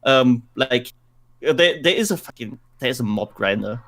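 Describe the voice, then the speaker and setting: A man's voice: medium pitch, expressive, moderate pace, a bit bright. A man co-hosting a podcast, chatting casually and repeating words for emphasis as he tells things. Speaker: podcast co-host. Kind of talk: casual podcast chat about games and films.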